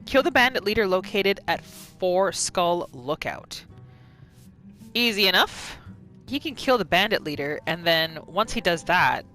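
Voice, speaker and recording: A woman giving expressive, storytelling third-person commentary as she roleplays through a video game. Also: fairly low pitch, measured pace. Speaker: gamer narrating a roleplay playthrough. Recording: faint game music behind.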